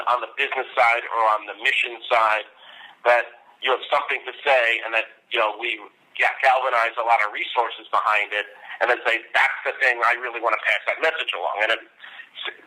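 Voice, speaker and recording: A man's voice: mid-range voice, sharp tone, rough recording. A man on a phone conference line coaching someone about business in a long-winded, emphatic, persuasive way. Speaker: media marketing consultant.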